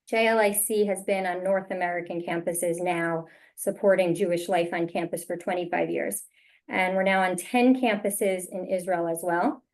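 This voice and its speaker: A woman welcoming viewers and introducing a virtual student panel as moderator, speaking over a video call. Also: medium pitch.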